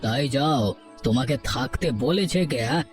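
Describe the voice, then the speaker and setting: A man's voice: mid-range voice, normal speed, faint music behind, close to an everyday voice. A man voicing a stern, heartless village headman in a cartoon, speaking in a harsh, commanding, dismissive way. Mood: contemptuous. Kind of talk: Bengali cartoon story acting.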